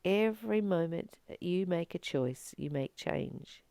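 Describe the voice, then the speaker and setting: A woman reading from a spiritual book and commenting on it informally, in an earnest, emphatic manner with deliberate pauses. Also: fairly low pitch, expressive, vocal fry, mellow, clean tone, no room sound.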